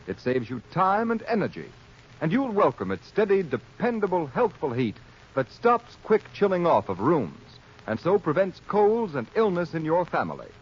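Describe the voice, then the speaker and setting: A muffled 1930s radio recording of an American man reading an advertisement. His announcer's voice is fairly high and very lively in pitch, with deliberate, emphatic enunciation. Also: slightly rough.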